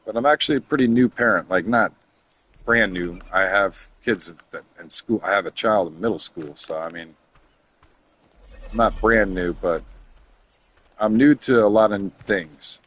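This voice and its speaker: A man's voice: fairly low pitch, unhurried, long pauses, fairly bright tone, a little hiss or hum. A man in his thirties chatting casually to his viewers while he plays a game, rambling from topic to topic and muttering to himself as he works through menus. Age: 30s. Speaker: game streamer.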